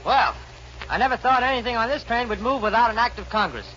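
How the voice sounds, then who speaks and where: A man's voice: very high-pitched, fast talker, heavy background noise. A man playing a cocky, wisecracking young cowboy with a dry, joking manner in a 1940s Western radio comedy. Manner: dry, joking, drawn to exaggeration. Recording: dull 1940s radio recording, music behind.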